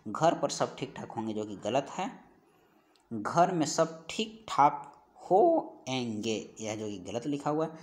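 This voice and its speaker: A male teacher with a medium-pitched voice takes learners through exam questions in a didactic way. He reads each item aloud, repeats it, and stretches out the key phrases before giving the answer. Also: very animated, slow, a little mellow. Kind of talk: Hindi grammar exam-prep lesson, reading out questions and answers.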